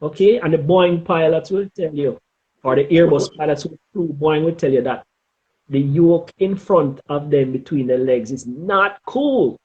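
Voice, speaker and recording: Higher-pitched Jamaican man, emphatic and deliberate. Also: a little mellow, dull audio. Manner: emphatic and deliberate, with frequent "okay?" check-ins. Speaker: interview guest, former air traffic controller. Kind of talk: interview talk giving advice.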